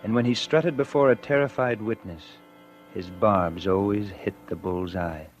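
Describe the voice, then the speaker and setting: Actor playing low-voiced guilt-ridden man narrating, music behind. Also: moderate pace, lightly acted. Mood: brooding, tense. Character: guilt-ridden murderer confessing, narrating his own downfall. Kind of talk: 1940s radio drama first-person narration. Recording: 1949 radio recording with music.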